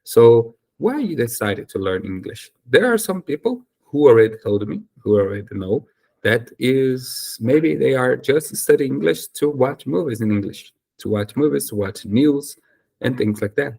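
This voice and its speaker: A man's voice: mid-range voice, lively intonation, normal speed, creaky, a little mellow. A young Brazilian man whose first language is Portuguese, speaking English with a Brazilian accent in a casual talk to camera, hesitant with many fillers and self-corrections. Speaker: YouTuber and English learner.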